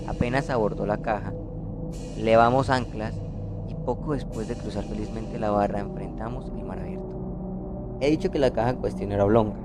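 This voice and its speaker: A young man reading a scripted literary story as a student narrator. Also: mid-range voice. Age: young adult. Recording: music behind the voice.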